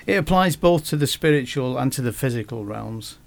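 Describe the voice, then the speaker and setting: Male podcast guest, gravelly voice, hesitant delivery with frequent restarts. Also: mid-range voice, lively intonation. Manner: halting, many restarts and fillers. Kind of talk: casual podcast conversation.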